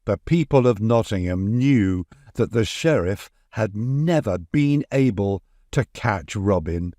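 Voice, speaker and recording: A man narrating a scripted bedtime story for children in an expressive, unhurried storytelling manner. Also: on the low side, no echo, studio quality.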